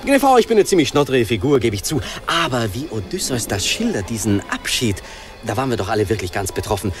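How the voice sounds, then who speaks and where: An actor playing a gushing, brash charmer with an effusive, halting manner in a radio play. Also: male voice, mid-range voice, big pitch swings, over music, close to an everyday voice. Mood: earnest. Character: gushing, flattering, brash showman courting a nymph. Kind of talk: German radio play acting.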